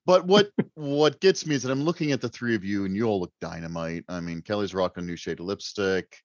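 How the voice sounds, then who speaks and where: A man's voice: on the low side, brisk. A man chatting casually as a guest author on a podcast, wordy, self-deprecating and joking. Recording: roomy, slightly dull microphone sound.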